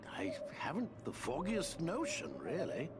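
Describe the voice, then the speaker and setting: A dotty old wizard, his raised voice swooping wildly up and down. He muses and rambles slowly, cheerful and crafty, in a fantasy game with loud music. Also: a little high, huge pitch swings. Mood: puzzled, musing. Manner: whimsical, musing, rambling, theatrical.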